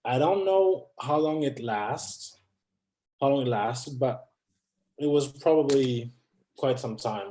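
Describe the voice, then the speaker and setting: A man's voice: medium pitch, dark tone, roomy sound. A man in his thirties, a non-native English speaker from France, talking as a guest in a hesitant way with many restarts. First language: not English. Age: 30s. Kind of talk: conversational interview.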